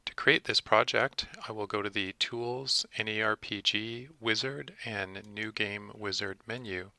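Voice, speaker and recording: A man narrating a software tutorial as its presenter, methodically explaining things step by step. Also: on the low side, steady tone, unhurried, creaky, dry recording. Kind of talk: instructional screencast narration.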